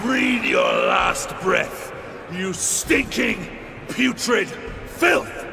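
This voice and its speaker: An actor playing a furious, defiant male fighter in a video game, snarling drawn-out venomous curses. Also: high voice, wildly swooping pitch, gravelly, over music, crowd noise, heavily acted. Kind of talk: video game combat acting.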